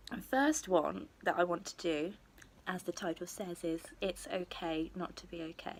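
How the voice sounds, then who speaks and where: A young woman who is losing her voice, husky, talking candidly to camera with lots of ums. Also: on the low side, a little hiss or hum. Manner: candid, rambling with many ums. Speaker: beauty vlogger. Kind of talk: casual talk to camera.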